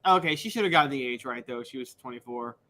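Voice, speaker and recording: A man in his thirties co-hosting a sports talk show, earnest and quick to laugh. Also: mid-range voice, pushed and bright. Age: 30s. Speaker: co-host.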